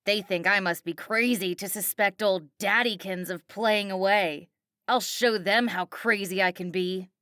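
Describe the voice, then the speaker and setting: Audiobook role: jealous, bullying, substance-fuelled mom, acted by a female reader. Sharp, slangy, domineering, close dry recording. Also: mid-range voice, dry recording. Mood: bitter ranting. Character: vicious, drug-addled, foul-mouthed mother, jealous and bullying. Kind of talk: audiobook character acting.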